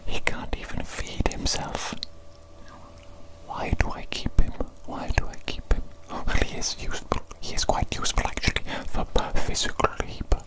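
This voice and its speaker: A woman voicing a pompous, fussy evil doctor in a whispered ASMR roleplay, breathy and given to repeating phrases emphatically. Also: moderate pace, lightly acted, low-quality recording. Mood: smug, grumbling. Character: pompous, exasperated evil doctor, self-proclaimed mad scientist genius.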